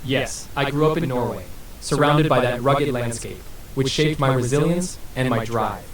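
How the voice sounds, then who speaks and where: A synthetic male voice answering as an AI interview guest, smooth and evasive with scripted-sounding replies. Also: medium pitch, normal speed. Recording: roomy and distant with faint hiss.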